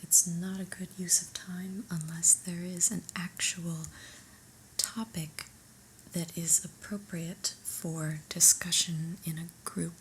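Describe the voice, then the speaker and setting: A woman whispering an ASMR roleplay as an unimpressed new office boss, deliberate, mildly stern and deadpan, in a breathy voice. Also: fairly low pitch, a little hiss or hum, poor audio.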